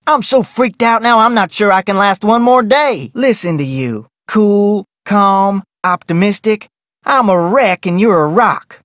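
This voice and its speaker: A gossipy, bossy ranch cook from an adventure game, acted by a woman. She is folksy and emphatic, easily rattled, and her medium-pitched voice swings widely up and down. The sound is dry, with no room around it. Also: expressive, unhurried, no room sound, natural voice. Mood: exasperated, frantic, then wry, chatty. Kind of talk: scripted adventure game character acting.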